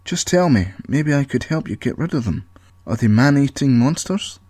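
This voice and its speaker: Audio story captive, done by a male reader: frustrated, pleading, demanding, raised pitch, close dry sound. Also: lively intonation, moderate pace, darkish tone, no room sound, lightly acted. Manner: exclamatory, demanding questioning. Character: frustrated, impatient trapped young male, pleading and defiant.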